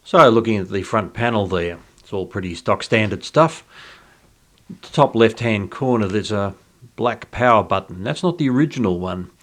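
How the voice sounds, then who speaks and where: A man casually explaining things as host of a hobby radio video, in an unhurried, understated, conversational way. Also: on the low side, expressive.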